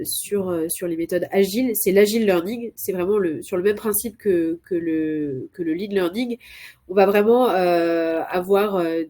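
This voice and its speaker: A woman's voice: mid-range voice, warm tone. A woman with a cold, presenting as a consultant in a live webinar, hesitant with many filler sounds and restarts. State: has a cold.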